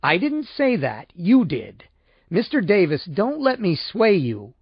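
Casting: male reader as fussy, unctuous salesman, pompous and deferential, lively high-pitched delivery, audiobook. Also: a little slow, breathy edge, no echo, dull audio, full character voice. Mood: flustered, earnest. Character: unctuous, easily shocked male insurance salesman.

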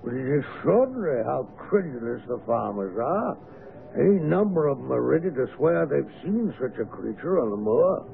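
An actor playing an affable, eccentric naturalist neighbour with a sinister edge, chatty and courteous, in a radio drama. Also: male voice, mid-range voice, very animated, moderate pace, gravelly. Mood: genial, probing. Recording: noisy phone-like vintage radio broadcast.

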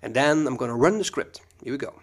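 A Dutch-accented man talks through a software tutorial at his computer. His delivery is loose and conversational, dotted with ums and uhs, at a medium pitch that sits in the middle for a man. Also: quick pace. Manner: relaxed, chatty, frequent ums and uhs. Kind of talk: screen-recorded software tutorial.

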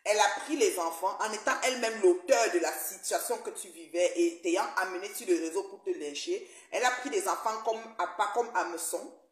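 A woman in her forties with a mid-range voice, speaking in an animated, indignant way. She gestures as she talks into a phone from an armchair, and the living room adds a roomy echo. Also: mid-range voice, fairly quick, few pauses. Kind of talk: video call discussion.

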